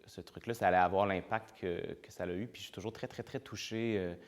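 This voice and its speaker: A playwright talks about his work in an interview. His voice is very low. He speaks reflectively and hesitantly, with frequent fillers. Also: fairly even intonation, a little fast.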